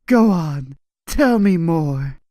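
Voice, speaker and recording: Man playing a young woman, lovestruck, dreamy, melodramatic comic-book heroine, raised pitch. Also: lively intonation, very slow, warm tone, dry recording, clean studio sound. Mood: wistful.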